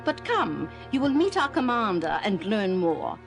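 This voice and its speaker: An actress playing a composed, authoritative alien ship's official, formal and assured, in an audio drama. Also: female voice, medium pitch, sing-song, a little slow. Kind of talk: 1970s audio drama acting. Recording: loud music behind the voice.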